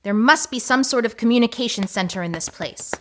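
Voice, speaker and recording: Lady playing bossy dry agency chief, fairly high lively voice, audio drama w noisy bg. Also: a little fast, natural voice. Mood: commanding. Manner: authoritative, dry. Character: dry, commanding female section chief of spy agency. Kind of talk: audio comedy drama acting.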